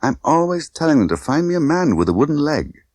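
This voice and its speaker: A man's voice: fairly high pitch, sing-song, brisk, a little mellow, breathy edge, no room sound, clean studio sound, voice pushed up. A man voicing a tough, condescending young woman smuggler who talks in clipped, bossy slang. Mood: dry, businesslike.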